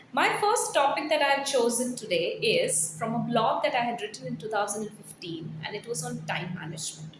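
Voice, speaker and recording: A roomy, echoing recording carries a woman's fairly high voice. She presents like a corporate trainer, reading prepared text aloud and urging the listener on with earnest emphasis. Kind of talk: scripted blog read aloud with personal asides. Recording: roomy echo, faint background noise.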